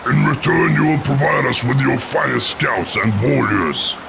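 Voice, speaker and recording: Gravelly commanding orc warlord. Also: male voice, mid-range voice, animated, moderate pace, background noise. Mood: proud welcome. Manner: declamatory, formal. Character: commanding orc war leader, proud and fierce. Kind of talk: fantasy game cutscene acting. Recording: loud music under the voice.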